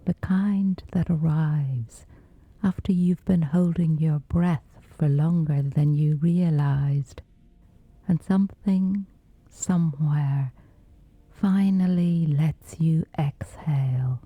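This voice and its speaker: A woman gently guiding a scripted sleep meditation in a soothing, breathy whisper, unhurried throughout. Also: sits low, no room sound. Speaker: meditation guide.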